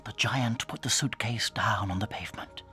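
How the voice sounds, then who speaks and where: A man with a British accent narrating a dramatized children's story in a suspenseful, theatrical way that can drop toward a hush. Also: on the low side, normal speed, soft background music. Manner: dramatic, suspenseful, hushing to near whispers.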